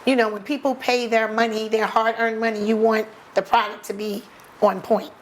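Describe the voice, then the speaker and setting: A woman's voice: a little high, level tone, normal speed, slight background noise, low-quality recording. An older woman from New York with a New York accent, a baker interviewed as a guest, talking in an easygoing, plainspoken way. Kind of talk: casual interview.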